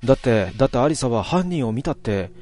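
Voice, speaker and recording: A man's voice: medium pitch, lively intonation, quick pace, darkish tone, close to an everyday voice. A man voicing a flustered young friend who stammers in shock. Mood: confused. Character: startled young friend, panicky.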